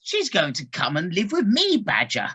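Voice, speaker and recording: Woman as a bright, animated water rat. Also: fairly low pitch, moderate pace, husky, full character voice. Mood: friendly. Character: apologetic, friendly water rat. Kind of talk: community audio play acting. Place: living room, recorded over video call.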